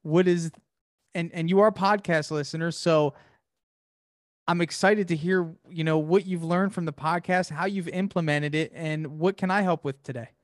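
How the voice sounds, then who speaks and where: A man's voice: fairly high pitch, fairly even intonation, normal speed, no room sound, clean studio sound. A man hosting a business podcast, upbeat and motivational, speaking in punchy slogans. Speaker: podcast host.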